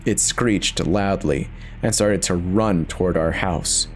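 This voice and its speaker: Male narrator, lowish register, theatrical first-person horror reading, light music bed. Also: slow pace.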